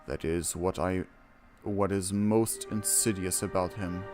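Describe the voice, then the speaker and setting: A man streaming a game, reading quest text aloud and reacting casually, with excitable exclamations and hesitant, self-correcting commentary. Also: deep voice, unhurried. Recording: music behind the voice. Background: game music.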